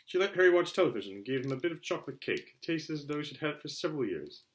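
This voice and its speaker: A man narrating a children's novel aloud in an expressive storytelling style. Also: a little high, rapid-fire. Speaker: audiobook reader.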